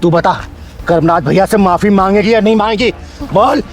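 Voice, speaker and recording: A man's voice: sits high, normal speed, soft background music. A man playing a fierce, protective transgender woman who scolds and threatens wrongdoers in a short moral drama. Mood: menacing. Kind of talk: Hindi moral short-film acting.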